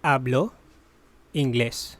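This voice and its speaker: Very slow phrasebook drill voice. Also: medium pitch, a bit bright, no room sound. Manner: says each phrase, gives its translation, then repeats it slowly in parts. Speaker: language teacher.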